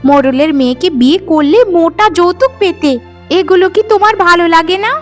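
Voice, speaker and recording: A woman's voice: extremely high pitch, expressive, fairly quick, soft background music. A woman voicing a scheming, disdainful young sister-in-law in a cartoon, with a nagging manner. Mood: nagging, scornful. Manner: nagging, sharp questioning. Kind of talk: cartoon drama acting.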